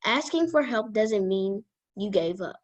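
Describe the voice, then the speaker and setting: A woman reading a children's self-help book aloud as a guest reader, in a careful, halting manner. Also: mid-range voice, animated.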